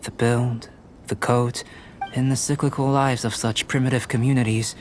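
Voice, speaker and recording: A man voicing a calm, curious young alchemist and painter in a video game, measured and scholarly. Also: medium pitch, a little hiss or hum, lightly acted. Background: game music.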